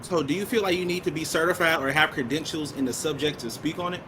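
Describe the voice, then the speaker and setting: A young man runs a laid-back podcast interview, joking and slangy and quick to say "mm-hmm." Clear hiss sits behind his voice throughout. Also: fairly high pitch. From Detroit. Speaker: podcast host. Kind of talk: casual interview chat.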